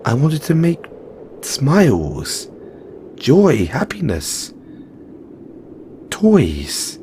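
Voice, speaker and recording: A frank male Christmas elf, acted by a man, speaks at an unhurried pace with a very lively, swooping delivery. He is caring and a little weary, chiding yet reassuring, in an informal audio roleplay monologue. There is faint ambient noise behind the voice. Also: medium pitch, heavily acted. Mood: wistful.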